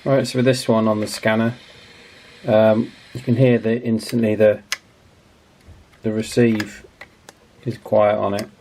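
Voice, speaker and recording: A man's voice: fairly low pitch, flat delivery, a little slow, pauses often, warm tone, a little hiss or hum. A man giving casual, explanatory repair commentary as a channel host, in an informal, matter-of-fact and somewhat hesitant way.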